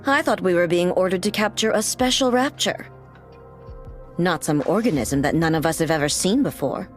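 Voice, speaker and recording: A woman's voice: fairly low pitch, expressive, moderate pace, faint music behind, close to an everyday voice. A woman playing a wry, unflappable female operative in a game, with dry composure. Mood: cool, reflective. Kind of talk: dubbed game cutscene voice acting.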